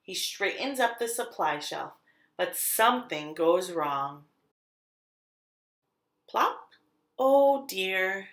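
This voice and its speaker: A woman reads a children's picture book aloud as a storyteller, with expressive delivery and deliberate, dramatic emphasis on key words. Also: mid-range voice. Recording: roomy, echoing sound.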